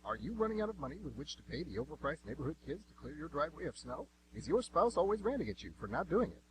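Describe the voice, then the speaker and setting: Zealous male infomercial huckster, acted, rapid parody radio ad, hum and noise behind. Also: fairly high pitch, sing-song. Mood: excited selling. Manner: hard-selling, exclamatory. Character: zealous over-excited infomercial pitchman. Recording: noisy home recording with hum.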